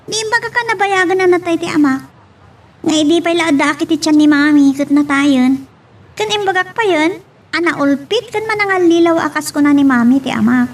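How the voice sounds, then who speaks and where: A woman's voice: very high-pitched, expressive, normal speed. An actress playing an earnest, hopeful schoolgirl, a dutiful and chatty daughter in a serial drama. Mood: puzzled, confiding. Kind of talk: radio-style serial drama acting. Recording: distant mic with faint noise.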